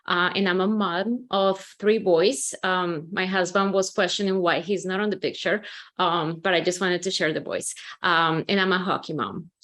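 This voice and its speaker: A woman from Colombia, a company CEO, explaining her consulting approach in a webinar with steady, measured speech and frequent "um"s. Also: lowish voice. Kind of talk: webinar presentation.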